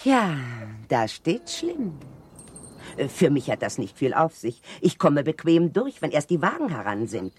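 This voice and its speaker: A woman's voice: deep voice, huge pitch swings, a little slow, a little mellow, a little hiss or hum, heavily acted. An actress playing a knowing woman in a German radio drama, explaining things emphatically. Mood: matter-of-fact.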